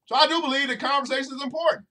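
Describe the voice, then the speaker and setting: A man's voice: very high voice, steady tone, racing, pushed and bright. A man hosting a motivational podcast, preaching to his listeners directly and repeating phrases over and over for emphasis. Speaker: financial educator and podcast host.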